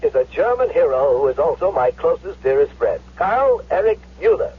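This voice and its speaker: Casting: effusive propaganda presenter for radio play, very husky, thin phone-like vintage sound, declamatory. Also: male voice, on the high side, sing-song, moderate pace. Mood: zealous, effusive. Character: zealous male propaganda radio announcer. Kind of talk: 1970s radio drama acting. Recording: thin radio-set sound, old recording.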